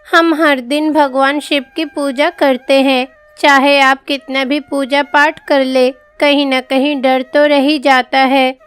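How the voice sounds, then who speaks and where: Anxious, respectful pupil female performer, high voice, storybook tale, music bed. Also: level tone, slow pace, few pauses, a bit bright. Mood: worried. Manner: respectful, earnest. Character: earnest, respectful, anxious schoolchildren seeking their teacher's guidance.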